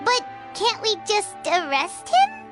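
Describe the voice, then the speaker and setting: A woman's voice: huge pitch swings, takes it slowly, loud music behind the voice, exaggerated voice. A woman voicing a tiny, childlike fairy companion in a video game, nervous, curious and given to exclaiming. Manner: refers to herself in third person, squeaky exclaiming.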